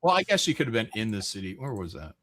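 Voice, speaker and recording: A man hosting a livestream, chatting casually and reading aloud haltingly, with wry, sweary asides. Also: lowish voice, big pitch swings, crowd noise. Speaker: livestream host.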